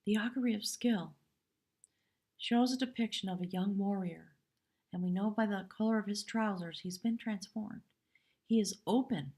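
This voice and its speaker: A woman with a hoarse voice giving a live spiritual tarot reading in measured, reverent short phrases. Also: medium pitch, darkish tone. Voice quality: husky, breathy. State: hoarse from much talking. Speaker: tarot reader, live stream host.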